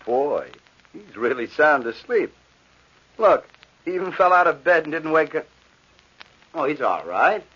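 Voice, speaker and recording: A man's voice: fairly high pitch, big pitch swings, a little slow, pauses often, bright, forceful tone, a little hiss or hum, exaggerated voice. An actor playing a breezy, practical, unworried male friend in an old radio drama, talking casually. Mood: breezy, reassuring. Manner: casual, colloquial. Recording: muffled vintage radio recording.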